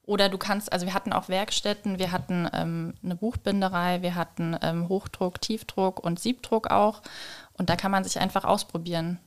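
A woman's voice: lowish voice, fairly even intonation, moderate pace. A woman talking casually as an interview guest, phrasing things tentatively and with some self-deprecation. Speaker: guest, communication designer and illustrator.

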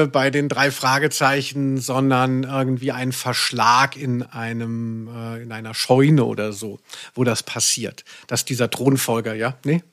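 A man's voice: medium pitch, a bit bright, no room sound, clean studio sound. A man chatting casually as a podcast co-host, digressing hesitantly as he goes. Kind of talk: casual chat.

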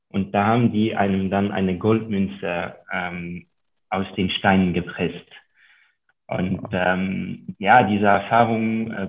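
Man with a French accent over a phone line. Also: on the low side. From Luxembourg. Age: 30s. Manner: hesitant, many ums and uhs. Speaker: guest investor and author. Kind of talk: podcast interview.

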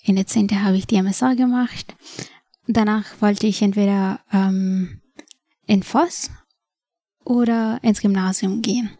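A woman's voice: mid-range voice, fairly even intonation, unhurried, warm tone, dry recording, studio quality. A woman in her twenties from Nepal, a native Nepali speaker, telling her story as a podcast guest, thoughtful and hesitant with many fillers. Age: 20s. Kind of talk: personal story in an interview. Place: podcast studio.

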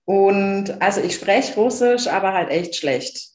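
A woman's voice: mid-range voice, animated, measured pace, a little mellow, roomy sound. A woman from Bavaria, talking as a podcast guest in a casual chat, enthusiastic and emphatic, with many fillers and restarts. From Bavaria, Germany.

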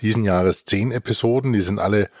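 Guy cohosting a podcast, kinda low voice, analytical, keeps hunting for words, dry room. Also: brisk, darkish tone, no room sound. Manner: hesitant, searching for words. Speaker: podcast co-host reviewing podcasts. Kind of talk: analytical conversational review.

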